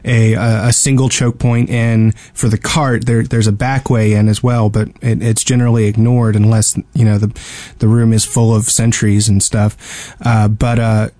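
A man's voice: on the low side, flat delivery, normal speed, mellow, dry recording. Rambling, hesitant man, dry close sound. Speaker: podcast co-host. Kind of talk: casual video game discussion.

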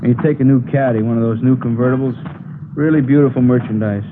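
Actor as chatty salvage yard owner, noisy old radio. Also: male voice, medium pitch, steady tone, fast, close to an everyday voice. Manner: folksy, obliging, talkative. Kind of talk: 1950s radio drama acting. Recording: noisy, phone-like vintage radio broadcast.

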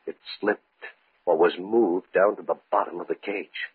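Husky, nervous scientist, tinny radio. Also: male voice, deep voice, fairly even intonation, no room sound. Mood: hushed dread. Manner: halting, brooding, dramatic pauses. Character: nervous male scientist with a dread of spiders. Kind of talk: old-time radio horror acting. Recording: thin, phone-like vintage radio recording.

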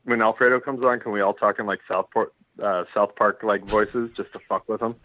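A man's voice: lowish voice, steady tone, a little fast, no room sound. A man co-hosting a podcast, joking and restarting his sentences. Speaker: podcast co-host. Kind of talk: casual podcast banter. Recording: dry, tinny phone-like audio.

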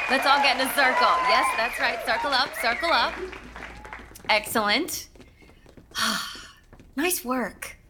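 An actress playing an effusive, pretentious musical director addressing her cast in a scripted comedy. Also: a little high. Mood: gushing. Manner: effusive, theatrical, preachy. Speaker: theater director.

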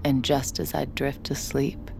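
An actress playing a troubled, weary woman with a husky, gravelly voice, brooding and confessional in an intimate audio drama. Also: very deep voice, flat delivery, a little slow, over music, full character voice. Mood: brooding, haunted. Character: troubled, weary, suspicious woman, a relapsed addict.

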